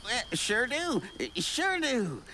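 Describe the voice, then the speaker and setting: A sly old backwoods huckster with a rural Southern accent for a video game. The talk is slow and folksy, repeating itself and swinging wildly up and down in pitch, with faint background ambience. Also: big pitch swings, pushed and bright, a little hiss or hum, full character voice. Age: older. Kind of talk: video game character acting.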